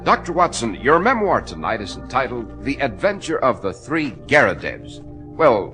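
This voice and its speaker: A man's voice: mid-range voice, big pitch swings, moderate pace, over music. An American man announcing and hosting a vintage radio show with emphatic, polished salesmanship in a 1940s style.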